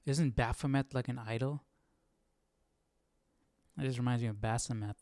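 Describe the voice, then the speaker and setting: A man's voice: medium pitch, fairly even intonation, normal speed, a little mellow, no echo. Man musing casually, very clean dry sound. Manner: musing aloud, informal. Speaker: host of a livestream Bible study. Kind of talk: casual live commentary on a reading.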